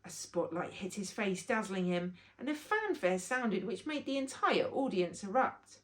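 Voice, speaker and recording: A woman reading a children's story aloud as an expressive narrator. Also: medium pitch, a little slow.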